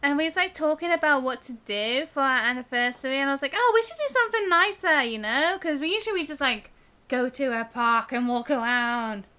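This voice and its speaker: A woman's voice: high voice, expressive, fairly quick, sharp tone. A young British woman chatting casually on a game live stream, girlish and chatty with sing-song emphasis.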